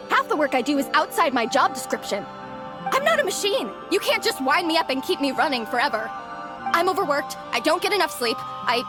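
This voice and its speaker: A woman playing an overworked young female office worker with a buried temper in an animated game cutscene, earnest and emotive. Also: sits high. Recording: music behind the voice.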